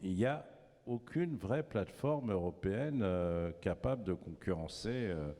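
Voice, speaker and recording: A man with a very low, dark voice moderates a debate. His delivery is informal and halting. The sound carries the long reverb of a hall. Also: expressive. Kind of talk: casual spoken moderating.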